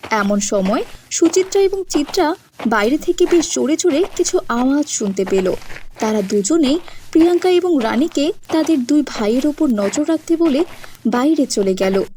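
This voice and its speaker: A woman's voice: high voice, expressive, moderate pace, dark tone, slight background noise. A woman narrating a scripted cartoon story as a storyteller.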